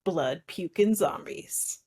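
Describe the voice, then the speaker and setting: A woman's voice: fairly low pitch, expressive, slow, dark tone, breathy edge. A woman co-hosting a casual film discussion, explaining things with playful banter. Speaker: podcast co-host.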